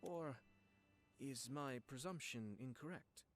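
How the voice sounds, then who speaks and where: An actor playing a courteous, composed noble clan head in an anime video game, speaking formally and with measured politeness. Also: male voice, mid-range voice, over loud music, close to an everyday voice. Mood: calm, inviting.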